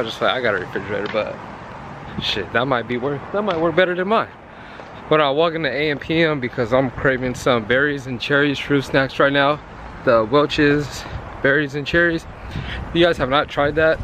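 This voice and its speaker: A hoarse young man in his twenties rambles excitedly to his phone, held at arm's length, and keeps repeating himself. His voice sits at an ordinary middle pitch, worn rough from yelling, and the talk stays loose and chatty throughout. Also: fairly quick, a little hiss or hum. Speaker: vlogger. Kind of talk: casual vlog talk to camera. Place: bedroom at home, then walking on a suburban street outdoors.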